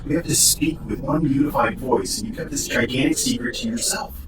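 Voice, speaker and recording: A man playing a cold, accusing co-host in an audio drama, calm and menacing. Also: medium pitch, fairly quick, close to an everyday voice. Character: cold male co-host turned traitor. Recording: roomy distant noisy audio.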